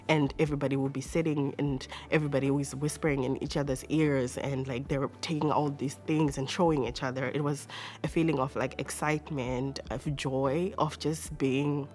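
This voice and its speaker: Low-voiced Zimbabwean woman telling her story. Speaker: interview guest. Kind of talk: personal testimony, casual storytelling.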